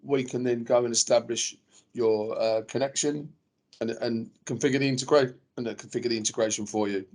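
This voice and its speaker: A man's voice: mid-range voice, fairly even intonation, a little fast, a little mellow. A man hosting and presenting a webinar in a casual, informal manner.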